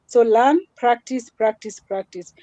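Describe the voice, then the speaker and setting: Woman, small voice, mellow, dry. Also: on the high side, unhurried, no echo, highs cut off. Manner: earnest, repetitive, mixes Swahili into English. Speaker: guest speaker. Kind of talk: motivational talk in a live session.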